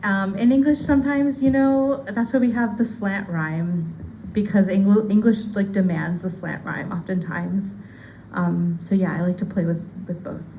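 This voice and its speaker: A woman's voice: medium pitch, steady tone, unhurried, warm tone, slight background noise, rough recording. A woman whose first language is Spanish, a poet casually answering audience questions at a bookstore reading, with lots of fillers. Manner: casual, chatty, many fillers and "like". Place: bookstore loft.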